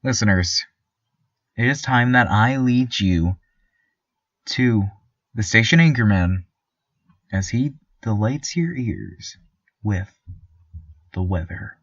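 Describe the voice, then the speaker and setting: A man playing a chipper, nervously obedient small-town radio host, stammering politely through a deadpan surreal monologue. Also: fairly low pitch, slow pace, pauses often, breathy edge, no echo, recorded from a distance, highs cut off, full character voice. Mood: smooth announcing. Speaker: local radio station host.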